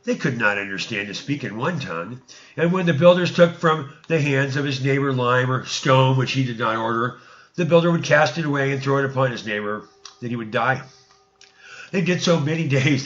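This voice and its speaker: A man reading an ancient religious text aloud as a narrator, in a deliberate storytelling manner, stumbling over words now and then. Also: medium pitch, expressive, fairly bright tone, highs cut off.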